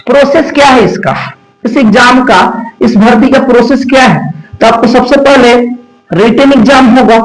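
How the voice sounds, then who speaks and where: A man with a Bihari accent explaining like a coaching teacher, asking his own questions and answering them, repeating key phrases. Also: very high voice. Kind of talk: instructional explanation to students. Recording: echoey room.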